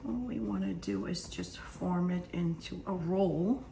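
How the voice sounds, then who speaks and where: A woman with a hoarse, partly lost voice, half whispering as she calmly talks through a cooking demonstration in her home kitchen. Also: on the low side, a little slow, reverberant, slight background noise. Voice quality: whispery, breathy. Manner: calm step-by-step instructions. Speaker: home cook.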